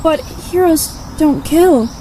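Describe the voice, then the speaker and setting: A woman's voice: high voice, dark tone, a little hiss or hum, poor audio. A woman playing a young superheroine in an audio drama, earnest and hesitant, with a self-doubting air. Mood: hurt, apologetic. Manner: hesitant, earnest, slow delivery.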